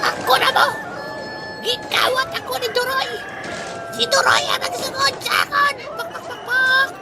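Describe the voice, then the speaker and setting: Shrill excitable young chicken. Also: female voice, moderate pace, music in the background, a crowd in the background. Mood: plaintive, excited. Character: talking chicken, lost child of the flock, excitable. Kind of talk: comic radio drama acting.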